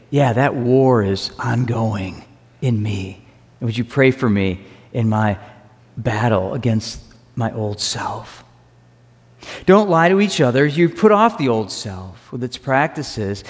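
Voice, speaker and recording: A man preaching a sermon as pastor, earnest and emphatic, slowing down to stress key phrases. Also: medium pitch. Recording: reverberant hall.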